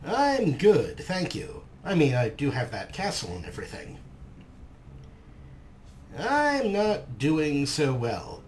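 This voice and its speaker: A man's voice: fairly high pitch, huge pitch swings, slow pace, a little mellow, slight background noise. A man hosting a let's play, casually commentating and reading dialogue options aloud. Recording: game music behind the voice.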